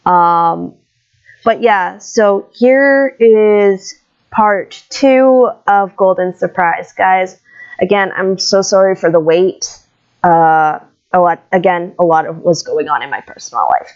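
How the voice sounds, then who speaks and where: A woman's voice: fairly low pitch, gravelly, muffled recording. A young woman giving a casual, informal update to her viewers in a hesitant, apologetic way. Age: young adult. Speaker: video creator and comic dubber.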